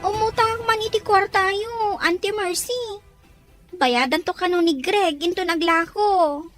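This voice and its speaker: Woman as a very high-voiced, whiny young sister. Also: unhurried, fairly bright tone, faint music behind. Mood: pleading. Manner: complaining, pleading. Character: whiny young student sister, work-shy and wheedling. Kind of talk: family radio-drama acting.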